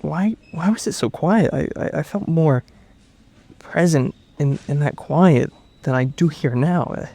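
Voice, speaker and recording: A man playing a shaken, bewildered witness in an audio drama, speaking in a halting, stammering way. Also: fairly high pitch, vocal fry, warm tone. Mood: dazed, wistful. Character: shaken, bewildered male witness under questioning. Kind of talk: naturalistic audio drama acting.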